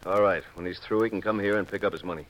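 An actor playing a commanding, businesslike gang boss in an old radio crime drama, giving clipped orders. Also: male voice, sits low, fairly even intonation, very fast, close to an everyday voice, rough recording. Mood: businesslike, curt. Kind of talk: 1950s American radio crime drama acting.

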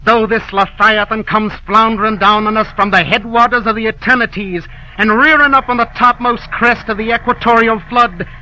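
An actor playing an obsessed, commanding old whaling captain in a radio drama, rising into grand declamation. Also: male voice, a little high, lively intonation, moderate pace, pushed and bright, low-quality recording. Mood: defiant. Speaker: ship captain.

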